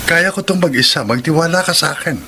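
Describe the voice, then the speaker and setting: Guarded, troubled husband, noisy backdrop. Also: male voice, a little high, fast, sharp tone. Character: troubled, secretive, stubborn young husband. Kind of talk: Filipino radio drama acting.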